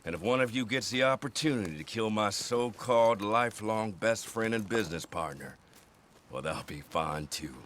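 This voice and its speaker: A low-voiced American outlaw boss from a Western video game. He is cold and mercenary, with a folksy drawl full of menace. There is faint noise behind him. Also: expressive, moderate pace, a bit bright. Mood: cold, scheming. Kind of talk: game cutscene acting.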